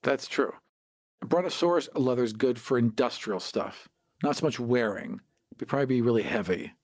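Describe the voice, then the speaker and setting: A man's voice: medium pitch, normal speed, darkish tone, no room sound, studio quality. A man bantering casually as a podcast co-host, playfully argumentative and swearing now and then.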